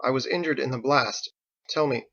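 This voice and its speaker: A man's voice: medium pitch, normal speed, gravelly, fairly bright tone, dull audio. A man voicing a stern, commanding woman who speaks in clipped, formal demands in an audiobook. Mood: curt, restrained. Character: stern, commanding woman healer interrogating a witness.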